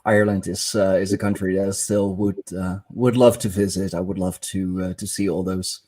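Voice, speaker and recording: Host guy, low mellow voice, slow and halting, hunts for words. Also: little pitch movement. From Netherlands. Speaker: podcast host. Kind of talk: casual interview chat.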